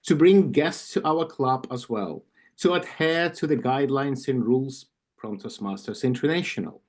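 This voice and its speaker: A man with a Ukrainian accent reading an induction script on a video call, slowly and carefully, with asides and small self-corrections. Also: medium pitch. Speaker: club vice president of membership. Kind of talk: reading an induction ceremony script at an online club meeting.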